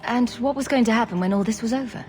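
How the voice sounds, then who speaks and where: A woman's voice: fairly high pitch, fast talker, a little mellow, faint music behind, lightly acted. A woman playing a dry-witted, sceptical adventurer heroine in a video game, with a sarcastic way of talking. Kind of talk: video game character acting.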